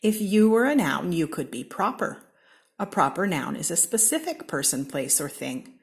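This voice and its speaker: A woman from Seattle with an American accent, reading a children's picture book aloud in a slow, expressive way. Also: creaky. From Seattle, Washington. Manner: slow, expressive read-aloud with lively pitch swings. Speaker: storybook reader.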